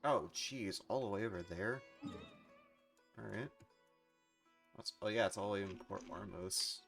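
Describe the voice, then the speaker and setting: A man's voice: on the low side, measured pace, gravelly, fairly bright tone, soft background music. A man casually commentating on a game as he plays live, rambling and thinking aloud. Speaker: game streamer.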